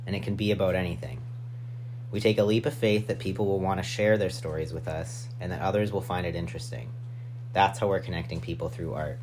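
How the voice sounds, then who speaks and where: A man with a low voice speaks as a podcast host. He narrates an introduction, with a faint hum and noise in the recording. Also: fairly even intonation, brisk, darkish tone.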